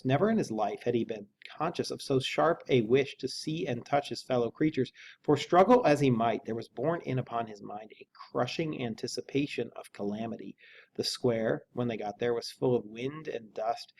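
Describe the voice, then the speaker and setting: A man with a medium-pitched voice speaks as a teacher, moving between literary narration and informal explanation. Noise is clearly audible behind him. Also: moderate pace, darkish tone. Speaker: English teacher. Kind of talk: reading a novel aloud with informal lesson commentary.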